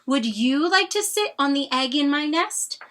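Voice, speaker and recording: A lazy, self-pitying storybook bird voiced by a woman reader. The voice is high and swoops widely in a coaxing sing-song. It is bright and theatrical, made for young children. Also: expressive, measured pace. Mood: sweetly coaxing. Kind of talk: expressive children's storybook read-aloud.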